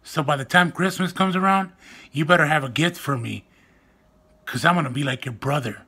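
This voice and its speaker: A young man vlogging casually to the camera at home, with a soft, weak, raspy voice and a slow, self-mocking, joking manner, recorded on a phone or webcam close to his face. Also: on the high side. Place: indoors at home, small room.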